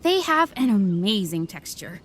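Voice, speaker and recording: A woman's voice: medium pitch, big pitch swings, unhurried, a little hiss or hum. A woman playing a courteous restaurant server in an anime game, speaking in a polite, presentational way. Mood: polite, proud. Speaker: waitress.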